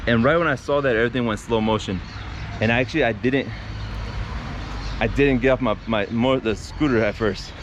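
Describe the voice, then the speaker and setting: A man at a middle pitch talks straight to camera outdoors while traffic rumbles clearly behind him. He tells the story casually, restarting sentences, using fillers and calling his viewers guys. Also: fairly quick, pauses often. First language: not Spanish. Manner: conversational retelling with many restarts and fillers, addressing viewers as guys. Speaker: vlogger. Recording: outdoor street traffic noise.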